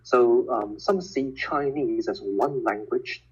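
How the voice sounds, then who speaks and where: Husky-voiced man with a Cantonese first language. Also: mid-range voice, lo-fi sound. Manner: measured, explanatory, frequent filler words. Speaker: guest, physicist and educator. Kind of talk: casual conversation over a call.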